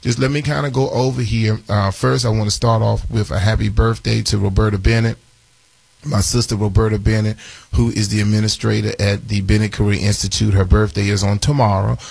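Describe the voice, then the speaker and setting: A man in his forties with a raspy voice, hosting a live morning radio show and chatting through announcements with lots of fillers and restarts. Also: on the low side, little pitch movement, brisk, a little mellow, no echo, rough recording. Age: 40s. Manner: chatty, repeats phone numbers, many fillers and restarts.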